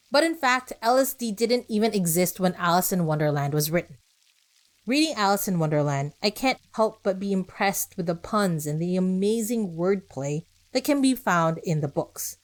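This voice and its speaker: A woman's voice: medium pitch, lively intonation, normal speed. A woman hosting a podcast, reading scripted narration with conversational asides, rhetorical questions and short emphatic phrases. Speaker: podcast host.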